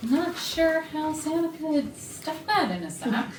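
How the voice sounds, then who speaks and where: A woman's voice: high-pitched, lively intonation, slow pace. A woman acting a flirty, catty, self-satisfied HR manager in an arch, sarcastic way at a comedy staged reading. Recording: noisy roomy rehearsal, far from mic.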